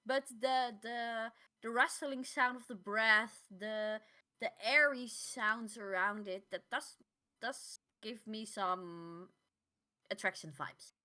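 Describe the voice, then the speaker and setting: A woman co-hosting a podcast in casual chat, halting and repeating words as she finds her phrasing. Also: on the high side, pushed and bright. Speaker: podcast co-host.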